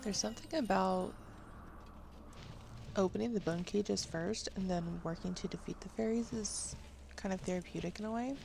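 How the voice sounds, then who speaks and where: A woman's voice: medium pitch, moderate pace, gravelly. A woman giving casual game walkthrough commentary as a gaming video creator. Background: game music.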